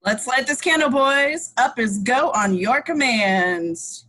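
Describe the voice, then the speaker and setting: A woman's voice: a little high, lively intonation, slow pace, bright, forceful tone, exaggerated voice. A woman at an amateur table read playing a cocksure control room technician. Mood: cocky.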